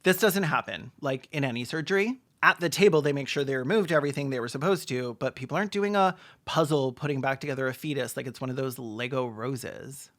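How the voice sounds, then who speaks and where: Commentator for a film takedown video: mid-pitched voice, sarcastic, campy, quick with joking asides. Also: fairly bright tone.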